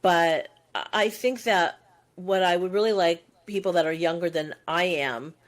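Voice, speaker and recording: A woman's voice: on the low side, steady tone, normal speed. A woman in her sixties co-hosting a podcast, talking in a reflective, musing way with long winding sentences. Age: 60s. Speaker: podcast co-host. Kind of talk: casual conversational chat.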